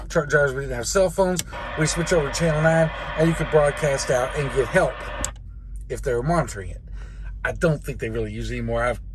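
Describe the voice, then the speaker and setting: A middle-aged American man, a truck driver, giving a casual hands-on tutorial in a plain, folksy way. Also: mid-range voice. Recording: clearly noisy vehicle cab.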